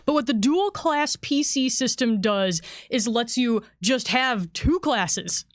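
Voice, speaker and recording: A woman's voice: a little high, expressive, takes it slowly, no echo, clean studio sound. A woman in a casual, enthusiastic livestream chat, explaining tabletop game material as one of its designers.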